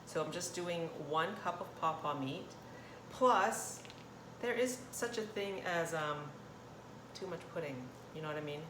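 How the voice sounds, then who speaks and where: Low-voiced, chatty woman in an echoey kitchen. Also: a little slow. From Thailand. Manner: chatty, rambling, self-interrupting. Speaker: cooking channel host. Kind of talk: casual cooking demonstration. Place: indoor kitchen. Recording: roomy echo, clear kitchen noise, clattering dishes. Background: cutlery and dish clatter.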